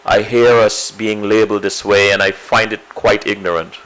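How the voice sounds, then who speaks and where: A man's voice: on the low side, fairly even intonation, normal speed, fairly bright tone, low-quality recording. A male interviewer who asks questions and listens attentively, with frequent "mm-hmm"s.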